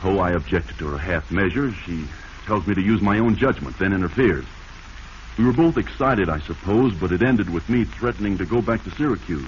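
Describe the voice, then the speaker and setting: A male actor playing a calculating, glib nephew with a schemer's smooth talk in a 1940s radio drama. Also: sits low, animated, brisk. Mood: feigned worry. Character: cold, scheming nephew, smooth-talking and feigning concern. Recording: noisy, muffled 1940s radio recording.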